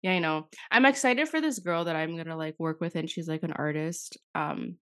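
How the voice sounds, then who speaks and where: Young woman, podcast co-host, low-pitched, rambling, constant "like", slightly sick voice, dry close sound. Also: normal speed, fairly bright tone, dry recording. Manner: rambling, says "like" constantly, swears casually. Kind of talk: casual chat.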